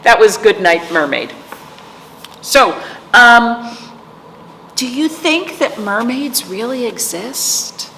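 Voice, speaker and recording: Slow-paced woman hosting children's storytime, echoey room. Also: fairly high pitch, lively intonation. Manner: gentle, playful, addressing young viewers as friends. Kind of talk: read-aloud and chat for children. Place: indoors, room with aquamarine wall. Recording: echoey room with clear background noise.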